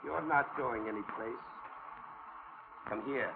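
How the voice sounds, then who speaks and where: An actor playing a weary, menacing criminal on the run in 1940s radio suspense style, curt and bitter. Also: male voice, mid-range voice, takes it slowly, vocal fry. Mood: curt menace. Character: menacing, weary male fugitive criminal holding a woman hostage. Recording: tinny, noisy 1940s radio recording.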